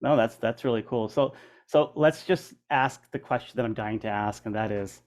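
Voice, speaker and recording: A man's voice: mid-range voice, normal speed. A middle-aged man hosting a casual interview, talking informally and banteringly, with plenty of "like" and "man". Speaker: interview host.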